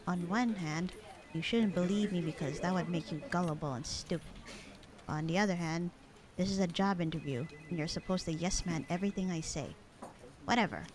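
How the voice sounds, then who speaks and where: A woman playing a bored, sarcastic, slightly flirty secretary in a casual ASMR audio roleplay, with a dry, deadpan, teasing delivery. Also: fairly low pitch, fairly quick, a crowd in the background, natural voice. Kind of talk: ASMR roleplay acting, casual one-sided conversation.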